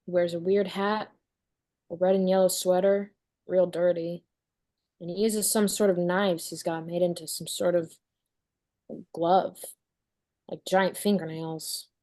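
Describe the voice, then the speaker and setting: Woman playing haunted, stubborn teenage girl, mellow voice. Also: on the low side, flat delivery, measured pace, pauses often, close to an everyday voice. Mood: quietly eerie. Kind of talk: script table read of a horror film.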